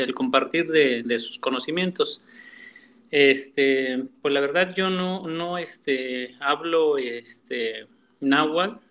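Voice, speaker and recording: A man's voice: a little high, a bit bright. A man joining a panel as a guest, speaking politely and hesitantly.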